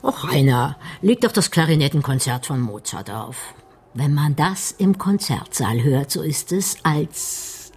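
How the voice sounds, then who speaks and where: A woman's voice: deep voice, sing-song, a little slow, darkish tone, slight background noise, full character voice. An actress playing a playful woman among friends in a naturalistic German radio drama. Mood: wistful.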